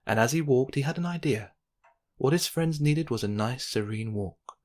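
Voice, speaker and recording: A man narrating a children's bedtime story in an expressive, measured read-aloud style. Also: medium pitch.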